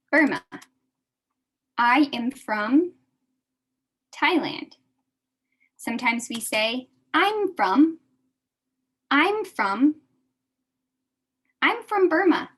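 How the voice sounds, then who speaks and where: A young American woman teaching a beginner English class, speaking slowly and repeating each phrase for her adult learners. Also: on the high side, a bit bright, slightly rough.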